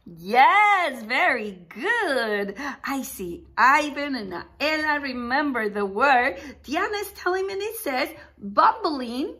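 A woman in her forties, a preschool teacher reading aloud to young children in an animated way, asking questions and pausing for answers. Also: high voice. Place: small indoor room with picture books behind.

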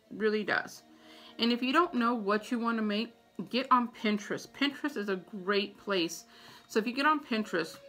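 Creaky-voiced American woman, chatty and informal. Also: medium pitch, a bit bright. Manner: chatty, informal, repeats points for emphasis. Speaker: vlogger giving household tips. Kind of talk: casual advice vlog to camera. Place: small room at home, indoors. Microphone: phone or tablet camera at about arm's length.

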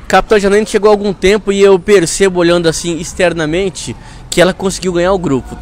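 Fast, high-voiced young Brazilian male reporter. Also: steady tone. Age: young adult. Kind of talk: on-location news interview. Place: outdoors in front of a fire station. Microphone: small handheld clip mic held near the mouth.

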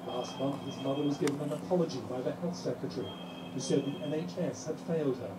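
Guy doing a news report, highish voice, echoey and buried in loud noise. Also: quick pace. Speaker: news correspondent. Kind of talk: scripted TV news report narration. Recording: distant, echoey playback with heavy noise.

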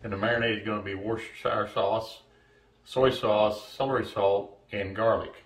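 A man in his sixties giving a casual, halting cooking demonstration as host, recorded from a distance in a home kitchen. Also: on the low side, steady tone. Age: 60s. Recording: echoey kitchen, distant mic, faint noise.

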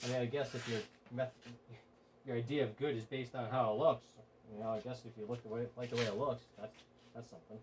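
Guy in his garage shop thinking out loud about his welds, keeps restarting sentences, mid-pitch voice. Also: steady tone, fast, background noise. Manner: rambling, self-correcting, offhand. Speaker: hobby welder, channel host. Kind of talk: casual workshop talk. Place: home garage workshop.

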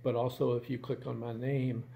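A man in his sixties chats casually to camera from a room at home. His voice is mellow and creaky, and he feels his way through sentences in a halting, plain-spoken manner. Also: mid-range voice, flat delivery, a little hiss or hum. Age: 60s. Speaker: video channel host.

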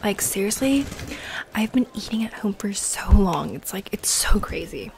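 Young woman doing asmr roleplay, soft and whispery chatty talk, mouth sounds, noisy close mic with clicks. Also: medium pitch, lively intonation, moderate pace, creaky. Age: young adult. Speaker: ASMR role player. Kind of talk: ASMR restaurant role play, half whispered, casual chat. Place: bedroom, indoors. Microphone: close desktop condenser mic.

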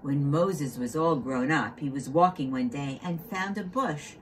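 A woman storyteller narrating for children in an expressive, dramatic manner. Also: low-pitched, a little slow. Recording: noticeable background noise.

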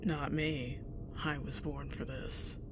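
A woman's voice: sits low, flat delivery, slow. A woman playing a blunt, fearless, sardonic astronaut with a dry manner in an audio roleplay. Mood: fervent. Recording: clearly noisy ambient background.

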